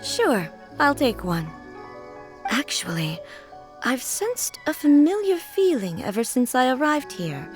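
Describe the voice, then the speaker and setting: Poised, high-voiced gracious lady. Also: huge pitch swings, slow pace, faint music behind, exaggerated voice. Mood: reflective. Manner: formal, composed, reserved. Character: poised, gracious woman of quiet authority, reflective. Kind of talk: video game character acting.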